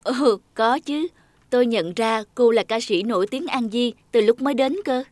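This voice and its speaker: A woman voicing a calm, kindly middle-aged male doctor in a cartoon, speaking slowly and gently. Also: fairly high pitch, no room sound, natural voice. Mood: gentle.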